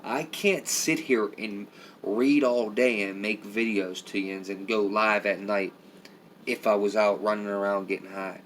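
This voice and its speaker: A middle-aged man with a raspy voice, tired and rambling as he talks casually to viewers on a live stream. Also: on the low side, fairly even intonation, measured pace, slight background noise. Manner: rambling, heavy with filler phrases. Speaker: vlogger.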